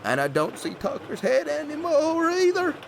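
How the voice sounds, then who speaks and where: A man acting in a comedy horror audio drama as an anxious, gullible, excitable hillbilly cousin. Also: very high voice, normal speed, creaky, a little hiss or hum, exaggerated voice. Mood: panicked. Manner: fretful, excitable.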